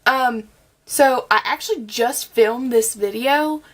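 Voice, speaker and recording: A woman's voice: on the high side, slow pace. Chatty young woman with a cold. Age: young adult. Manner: chatty and gushing, with many ums and likes. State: has a cold, a bit scratchy. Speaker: beauty vlogger. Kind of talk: casual product review.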